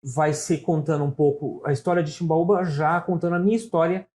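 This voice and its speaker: A man's voice: fairly high pitch, steady tone, a little fast, darkish tone. A middle-aged man from Brazil with a São Paulo Portuguese accent, talking casually as an interview guest and telling stories, often adding "né?". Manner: storytelling, talkative, frequent "né?" tags.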